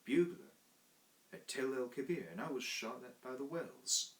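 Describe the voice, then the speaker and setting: A man doing a rough-spoken young Cockney servant. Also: medium pitch, sing-song, unhurried, voice pushed up. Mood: uneasy. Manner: rough working-class grammar, frequent "sir". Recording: roomy echo.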